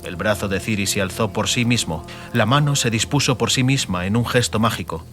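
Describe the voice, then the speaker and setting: A man narrating a fantasy audiobook dramatically, in measured, emphatic phrases. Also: lowish voice. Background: music and atmospheric sound effects.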